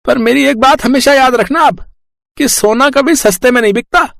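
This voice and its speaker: A man voicing a boastful, scheming male panipuri seller in an animated village story, pushy and loud. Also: very high voice, moderate pace, gravelly, dry recording, studio quality, full character voice. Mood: smooth-talking. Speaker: cartoon voice actor.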